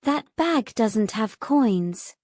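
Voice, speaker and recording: Woman playing a high, slow, naive mermaid. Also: expressive, darkish tone, no echo, studio quality, full character voice. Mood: puzzled. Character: hesitant, naive young mermaid woman, plainly questioning. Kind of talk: fantasy audio story character acting.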